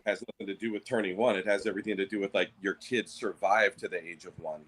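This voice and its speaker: A man's voice: lowish voice, fairly even intonation, fast, noisy background. A Native Hawaiian man talking things through on a podcast, hesitant, with many fillers and restarts. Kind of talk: casual discussion.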